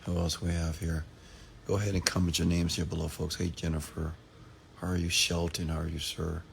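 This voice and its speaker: A hoarse man from Texas talks late at night on a livestream, his voice very low with a gravelly fry. He repeats himself and calls out viewers by name in a motivational tone. A faint hiss sits under the recording. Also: level tone, brisk. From Texas, USA. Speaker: entrepreneur hosting a live stream.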